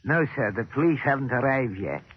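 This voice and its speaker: A man's voice: medium pitch, animated, fairly quick, close to an everyday voice. A man playing a bitter, defiant old elevator operator in 1940s American radio drama. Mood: deferential. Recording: noisy, muffled old 1940s radio recording.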